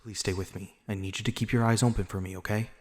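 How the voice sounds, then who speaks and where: A man playing a reclusive, protective vampire lord in an ASMR roleplay, speaking formally and courteously in measured words. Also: on the low side, steady tone, dry recording, clean studio sound, natural voice. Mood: worried, intent. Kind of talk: ASMR roleplay acting, addressing the listener.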